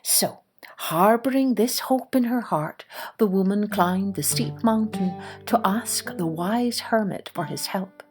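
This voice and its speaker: Lady reading a kids story podcast, slow n expressive, hushed bits, soft music under it. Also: mid-range voice, husky. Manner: expressive, hushed at suspenseful moments. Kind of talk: scripted children's story narration.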